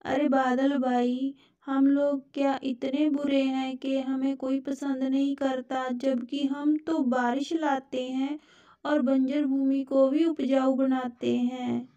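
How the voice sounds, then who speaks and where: A woman voicing a gentle, easily hurt rain cloud in a children's cartoon, with a plaintive, simple manner. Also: high voice, flat delivery, takes it slowly, muffled recording.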